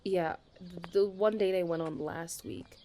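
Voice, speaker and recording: A woman's voice: on the low side, measured pace, dark tone, slight background noise. A young woman playing a chatty, dramatic best friend in a casual ASMR audio roleplay. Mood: chatty, exasperated. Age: young adult. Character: chatty, caffeine-loving female best friend, self-deprecating about dating.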